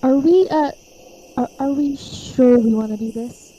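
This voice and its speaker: A woman playing a hesitant, apologetic young teammate in a webtoon fan dub, speaking tentatively and haltingly. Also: high-pitched, dark tone, dull audio, slight background noise. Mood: nervous, pleading.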